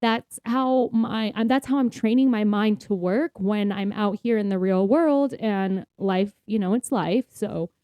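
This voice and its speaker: A woman's voice: medium pitch, unhurried, hardly any pauses, mellow, no room sound. A woman co-hosting a podcast in casual chat, with an upbeat manner and a readiness to laugh as she talks. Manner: upbeat, laughs often while talking. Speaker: podcast co-host.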